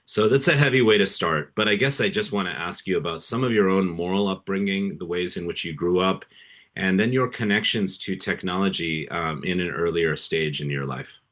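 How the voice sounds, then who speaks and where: Very deep-voiced earnest podcast host. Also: male voice, moderate pace, fairly bright tone. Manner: earnest, hesitant, many fillers and restarts. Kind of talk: interview hosting.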